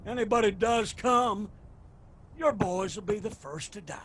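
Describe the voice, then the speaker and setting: High-pitched, vengeful Appalachian clan patriarch. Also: male voice, unhurried, a bit bright, husky, exaggerated voice. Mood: menacing. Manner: defiant, commanding, rustic speech. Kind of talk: radio drama acting.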